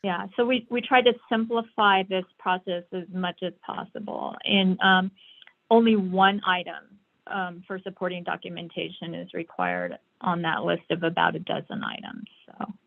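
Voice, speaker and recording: A woman's voice: on the low side, normal speed, gravelly. A woman giving a slide presentation on a conference call over a thin, tinny phone line. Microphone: phone or online call.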